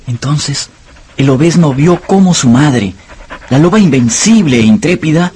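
A man's voice: a little high, animated, a little slow, mellow, a little hiss or hum. A man narrating a dramatised story for radio from a script. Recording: music under the voice.

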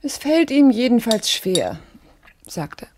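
An actress playing a young wife in a radio drama, reproachful and biting, with cutting, exasperated delivery. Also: female voice, a little high, wildly swooping pitch, takes it slowly, darkish tone, slight background noise, full character voice. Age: young adult. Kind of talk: German radio drama acting.

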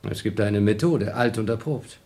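A man's voice: on the low side, normal speed, darkish tone, close to an everyday voice. A man playing a restless, cynical astronaut in a radio drama, with a sardonic, needling, conversational manner. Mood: persuasive, probing. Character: restless, cynical male astronaut, slyly persuasive.

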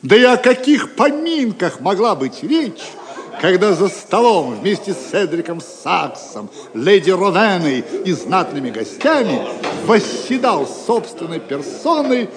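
A man narrating an audio play in an expressive, solemn storytelling style. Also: high-pitched, a little slow, a crowd in the background. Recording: music under the voice. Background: music.

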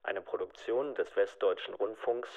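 Gravelly male radio announcer. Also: on the low side, normal speed, darkish tone. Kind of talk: scripted credits announcement. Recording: slightly muffled radio sound.

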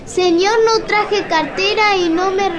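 Woman playing a very high-voiced, indignant lady shopper. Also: steady tone, slow, a crowd in the background. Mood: indignant, exasperated. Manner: indignant, protesting. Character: exasperated, indignant lady shopper, decent and stubborn. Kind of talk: amateur radio play.

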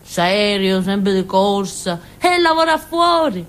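An actress playing a naive, simple-minded village woman in a theatre monologue, with a southern Italian accent and a childlike, halting, colloquial way of telling things. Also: mid-range voice, sing-song. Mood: chatty, marvelling. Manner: childlike, halting, giggly, muttering little asides. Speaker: stage actress.

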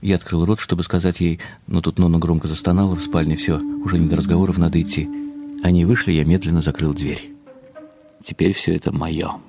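A man narrating a first-person radio drama in an expressive, confiding, slightly wry way. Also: low voice, a little fast, over music. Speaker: narrator and lead actor.

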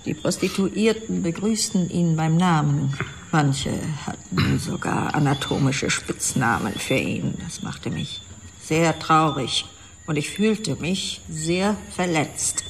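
Slow, creaky, bitter older wife. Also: female voice, deep voice, expressive, a little hiss or hum, full character voice. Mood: bitter, wounded. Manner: deliberate, formal, icily pointed. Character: bitter, genteel, vengeful older wife and mother. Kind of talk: German radio drama acting.

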